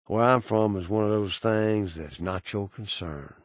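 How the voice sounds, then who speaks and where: A man's voice: fairly low pitch, measured pace, dry recording, studio quality, lightly acted. A man playing a cynical, menacing undead bounty hunter in a video game, with a sardonic, folksy Southern American drawl. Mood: dry, sardonic. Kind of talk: video game character dialogue.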